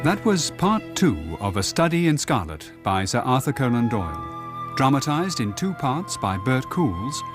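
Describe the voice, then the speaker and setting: A man's voice: mid-range voice, sing-song, normal speed. A man reading scripted credits as a radio continuity announcer. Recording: music under the voice. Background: flute music.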